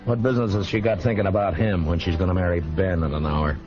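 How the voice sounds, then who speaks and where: Man acting a deep-voiced, stern frontier lawman. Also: fairly even intonation, fast, natural voice. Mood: dry, wry. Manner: terse, dry, authoritative. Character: stern, world-weary frontier lawman, middle-aged man. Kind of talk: old-time radio Western acting. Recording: dull vintage radio recording with music.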